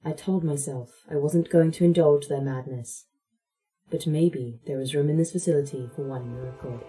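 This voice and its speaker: A woman's voice: deep voice, moderate pace, warm tone, faint music behind, natural voice, rough recording. A woman playing a brooding, godlike artificial intelligence who speaks in grand, theatrical phrases in an audio drama. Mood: solemn. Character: brooding godlike A.I. ruling tiny ant subjects.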